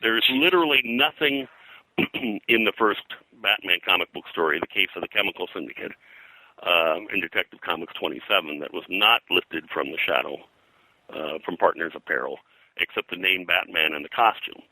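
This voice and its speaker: An older man speaking as an interview guest, reminiscing in a halting, digressive way and often clearing his throat. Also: deep voice, bright, forceful tone, no echo, poor audio. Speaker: guest, pulp and comics historian. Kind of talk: interview conversation, reminiscing about his work.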